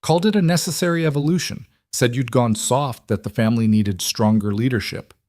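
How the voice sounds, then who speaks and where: A man voicing a stern, shrewd older mother of a crime family in a measured, grave way for an audio story. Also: mid-range voice, darkish tone, no room sound, clean studio sound, close to an everyday voice. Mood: disgusted.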